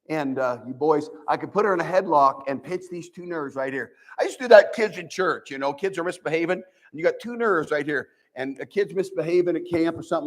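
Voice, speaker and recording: An older male pastor from the Midwest preaches in a church with a nasal, fairly high voice. His delivery is folksy and animated, and he restarts phrases often as he works through the scripture. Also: low-quality recording. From American Midwest. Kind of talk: sermon.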